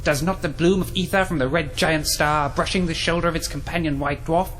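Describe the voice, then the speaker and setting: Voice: medium pitch, fairly even intonation, fairly quick, fairly bright tone, close to an everyday voice, poor audio. An actor playing a pompous, starry-eyed male alien scholar in a science fiction audio drama, florid and theatrical. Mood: rapturous, pompous. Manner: florid, grandiose.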